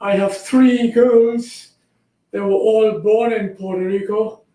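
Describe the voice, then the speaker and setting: Slow, high-voiced elderly man, echoey. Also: steady tone, dark tone. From Trinidad. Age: 80s. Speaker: guest, recovered patient. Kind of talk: conversational interview answers.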